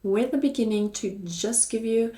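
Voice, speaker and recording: A woman's voice: a little high, moderate pace, warm tone. A woman with a German accent talking casually to her viewers as a vlog host, in an upbeat, encouraging and chatty way. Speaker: YouTube host and online shop seller. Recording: echoey room.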